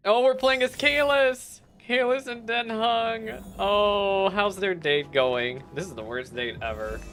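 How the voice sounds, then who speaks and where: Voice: medium pitch, measured pace, a bit bright, a little hiss or hum. Informal, joking game streamer. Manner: joking, informal, talking back to characters. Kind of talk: casual gameplay commentary.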